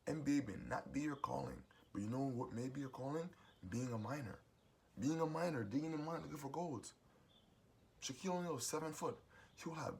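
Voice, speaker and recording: A young adult man with a booming voice gives a preachy, emphatic motivational monologue as host of his own series. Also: creaky, slight background noise. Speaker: host giving advice monologue.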